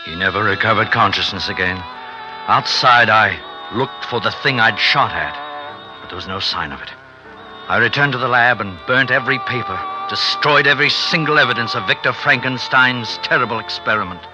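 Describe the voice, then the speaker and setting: An actor playing a worried, stern friend of a scientist, grave and admonishing, in 1950s radio drama style. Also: male voice, medium pitch, normal speed, music in the background, lightly acted. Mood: somber narration. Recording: noisy 1950s radio recording.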